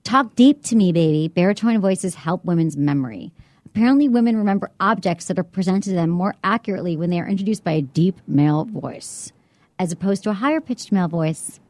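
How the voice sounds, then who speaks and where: A woman co-hosting a casual podcast, chatty and giggly, her voice slightly husky from a cigarette. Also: fairly low pitch, a little fast, a little mellow, no room sound. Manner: chatty, giggly, trails off mid-sentence. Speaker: podcast co-host. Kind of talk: casual chat.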